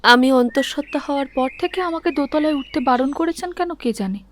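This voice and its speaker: A woman's voice: high-pitched, fast, a little hiss or hum, natural voice. A woman voicing a young, naive daughter-in-law in a cartoon, confiding and mildly complaining. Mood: puzzled, confiding. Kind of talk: cartoon voice acting.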